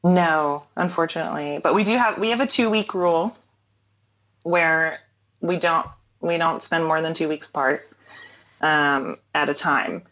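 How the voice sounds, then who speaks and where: A woman's voice: low voice, unhurried, fairly bright tone, lo-fi sound. A woman in her thirties, a singer-songwriter talking casually as an interview guest, rambling with fillers and laughs.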